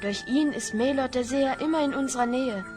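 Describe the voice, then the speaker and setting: A woman's voice: high-pitched, fairly quick, over music, close to an everyday voice. A woman playing an earnest, polite young hero on a quest in a children's fantasy radio play. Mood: resolute.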